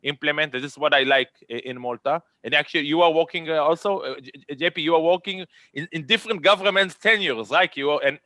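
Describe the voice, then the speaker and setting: A man's voice: on the high side, fairly quick, few pauses, sharp tone, no room sound. Chatty man with an Israeli accent. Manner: rambling, repeats words, friendly and chatty. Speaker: panel moderator. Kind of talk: virtual conference panel chat.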